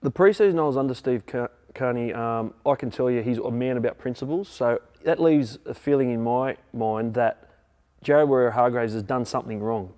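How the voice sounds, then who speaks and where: A man's voice: medium pitch, a little mellow, long reverb tail. A man in his thirties, a former player, chatting bluntly and in a laid-back way on a studio couch. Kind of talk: casual sports chat.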